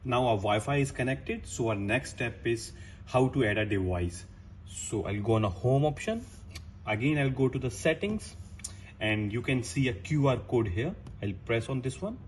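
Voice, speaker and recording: A male technician walks through a how-to demonstration one step at a time in a mid-pitched voice. His phrasing is plain and a little halting. There is clear background noise and room ambience behind him. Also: expressive. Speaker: technician presenting a tutorial.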